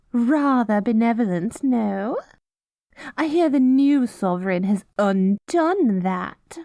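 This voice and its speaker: A woman's voice: high voice, a little mellow, no echo, clean studio sound, exaggerated voice. A woman voicing a haughty, self-righteous good queen with a cruel streak, in pompous, theatrical fantasy roleplay style. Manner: pompous, theatrical, mock-archaic diction. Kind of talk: scripted fantasy audio roleplay.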